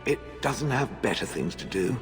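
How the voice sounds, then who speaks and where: An actor playing a haughty giant being with a gravelly, resounding voice and a deadpan, pompous, formal delivery. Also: male voice, fairly low pitch, moderate pace. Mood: dry disdain. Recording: long cavernous reverb, faint music.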